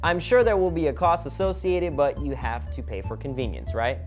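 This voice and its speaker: A man's voice: on the high side, normal speed, over music. A man hosting a comedic vlog, explaining things in a scripted, instructional way with casual joking asides. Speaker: vlog host.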